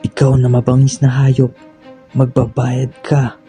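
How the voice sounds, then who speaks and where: A brave warrior prince of epic legend, acted by a man for radio drama. His voice is very dark and fairly monotone, declaiming threats and vows with force over music. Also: medium pitch, a little slow, natural voice. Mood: fierce challenge. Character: brave vengeful warrior prince, fierce and loyal.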